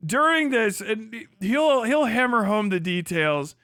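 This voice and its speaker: A man's voice: high-pitched, expressive, unhurried, sharp tone, no room sound, clean studio sound. A man hosting a livestream, delivering a profane, mocking commentary rant and laughing often. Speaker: livestream host.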